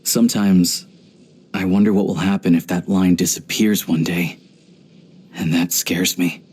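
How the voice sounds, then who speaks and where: A man voicing a video game character, an earnest, pragmatic young hunter and rifleman who talks in a measured, reflective way. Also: deep voice, flat delivery, darkish tone, breathy edge. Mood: somber, reflective.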